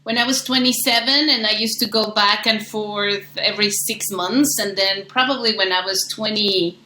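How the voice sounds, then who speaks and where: A woman's voice: medium pitch, steady tone, moderate pace, bright, forceful tone, echoey room, slight background noise, low-quality recording. A woman in her sixties from Mexico City with a Mexican accent and Spanish as her first language, telling stories as a podcast guest with a wry, self-mocking manner. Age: 60s. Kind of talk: casual chat.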